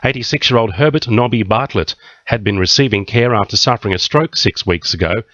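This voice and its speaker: A man's voice: fairly low pitch, brisk, fairly bright tone, no room sound, lo-fi sound. An Australian man reading a scripted radio news bulletin in a studio.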